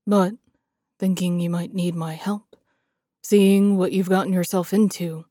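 A woman's voice: fairly low pitch, steady tone, a little slow, warm tone, no echo, clean studio sound. A woman voicing a proud, sardonic male lieutenant in a fantasy audiobook, with an intense manner. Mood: guarded, earnest. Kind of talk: dramatic fantasy audiobook acting.

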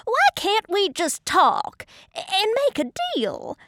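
A woman's voice: very high voice, very animated, takes it slowly, sharp tone, no room sound, studio quality, voice pushed up, exaggerated voice. A woman voicing a small, plucky but anxious male pig from a storybook, squealing and exclaiming. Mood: pleading.

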